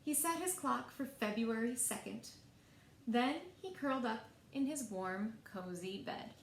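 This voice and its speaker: A woman reading picture books aloud as a storyteller, in an expressive, deliberate way meant for young children. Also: fairly high pitch. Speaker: library storyteller. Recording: echoey room, faint background noise.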